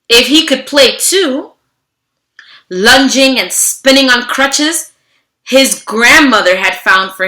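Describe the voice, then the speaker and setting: Bright, high-voiced woman reading aloud to children. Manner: expressive, theatrical, addresses listeners directly. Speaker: teacher reading aloud to students. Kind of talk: children's read-aloud with animated greeting. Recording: roomy, echoing room sound.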